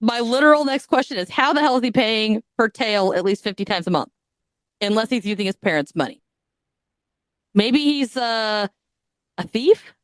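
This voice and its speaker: A woman's voice: a little high, lively intonation, normal speed, pauses often, no room sound. A woman hosting a podcast, retelling a story in a casual, crude, joking way and often breaking into giggles. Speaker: podcast host.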